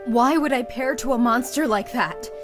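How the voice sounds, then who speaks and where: A woman's voice: a little high, normal speed, close to an everyday voice. A woman voicing an emotional, snappish young heroine in a visual novel, exclaiming and questioning. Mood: distraught. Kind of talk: visual novel character acting. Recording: music bed behind the voice.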